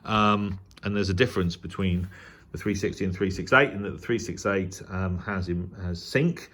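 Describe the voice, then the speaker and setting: British guy with a low voice doing a casual gadget unboxing, kinda rambly and halting. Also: a bit bright. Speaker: radio hobbyist vlogger. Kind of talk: casual unboxing and review talk.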